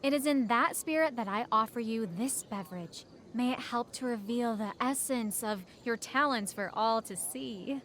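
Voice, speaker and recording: A woman's voice: on the high side, moderate pace, few pauses, fairly bright tone, close to an everyday voice. A woman acting a haughty, scheming American teenage rich girl in a video game, pompous and condescending. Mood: falsely sweet.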